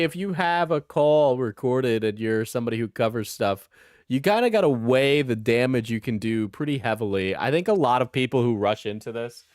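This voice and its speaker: A man's voice: mid-range voice, no room sound, studio quality. A man giving casual, opinionated commentary in a blunt, mocking way, swearing freely and often checking his points with "right?". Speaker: commentator reacting to an interview.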